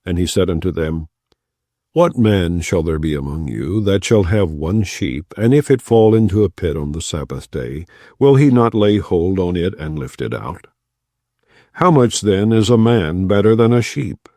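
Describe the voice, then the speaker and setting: Male scripture reader, very low and dark voice, lively inflection, solemn and measured, dry close sound. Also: no echo. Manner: solemn, measured reading of archaic text. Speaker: Bible reader.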